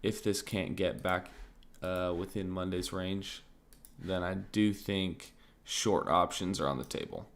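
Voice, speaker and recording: A man with a deep, low voice hosts a casual trading stream, thinking out loud with plenty of fillers. He speaks in a slow, easygoing rhythm. His voice carries a slight rasp, and he clears his throat now and then. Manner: relaxed, thinking aloud, many fillers like "like" and "um". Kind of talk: casual live-stream market commentary.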